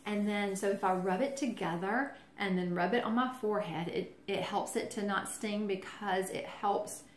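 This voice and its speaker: A woman talks in a relaxed, chatty way, pausing on ums and circling back as she explains things. Her voice sits at an ordinary middle pitch. She is recorded in an echoey room with a faint hum behind her. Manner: chatty, friendly, filler-heavy explaining. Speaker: essential oils presenter. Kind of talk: casual how-to talk.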